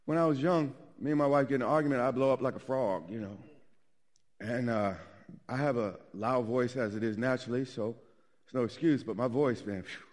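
A middle-aged man preaching a sermon as pastor, swinging from loud emphatic lines to soft quiet ones. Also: mid-range voice, brisk.

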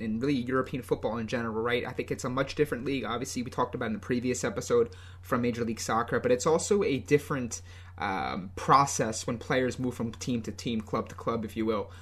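A young man from the United States co-hosts a casual soccer podcast in a mid-range voice. He talks in a relaxed, loose way, often pausing on ums and restarting his sentences. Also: medium pitch. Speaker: podcast co-host.

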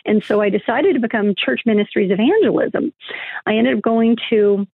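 A woman's voice: on the high side, normal speed, vocal fry, dry recording. Woman guest on a tinny phone line. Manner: rambling, many fillers and restarts. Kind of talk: conversational interview.

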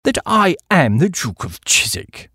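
Man performing elderly English duke, haughty, formal, easily affronted, audiobook character, very varied pitch. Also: sing-song, measured pace, pushed and bright, slightly rough, dry recording, clean studio sound, exaggerated voice. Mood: coldly polite. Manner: stiff, formal, coldly polite.

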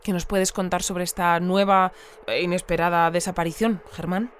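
An actress playing a composed radio newsreader in an audio fiction, reading scripted news in a measured, polite, deadpan way. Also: female voice, lowish voice, clean tone, lightly acted. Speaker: news presenter.

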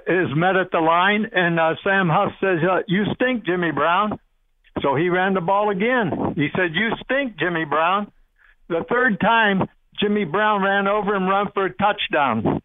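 A man's voice: sits high, unhurried, no echo. A man in his eighties from Ohio calling in to a radio show over a tinny phone line, casually telling anecdotes. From Ohio, United States. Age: 80s. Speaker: phone-in caller.

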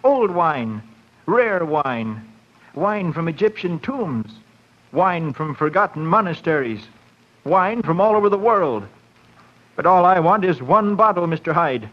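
An actor in a 1940s radio horror drama playing a strangely eager little fat collector, speaking in an insinuating way. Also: male voice, a little high, very animated, unhurried. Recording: muffled 1940s radio recording.

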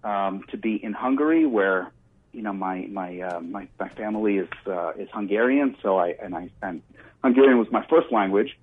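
A man chatting casually as an interview guest, halting and repeating words as he thinks. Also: on the low side, a little hiss or hum. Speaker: interview guest, political commentator. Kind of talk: casual spontaneous interview chat. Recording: muffled, band-limited remote line.

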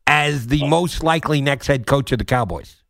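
A man's voice: mid-range voice, moderate pace, noisy background. A man hosting a casual sports radio interview, putting questions in blunt, informal phrasing.

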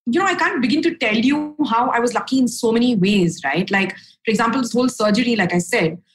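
A young adult woman from India, a podcast guest speaking frankly and upbeat, with a low voice for a woman and casual fillers. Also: fairly quick, poor audio. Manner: frank, upbeat, lots of fillers and "right?" tags. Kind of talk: candid conversational storytelling.

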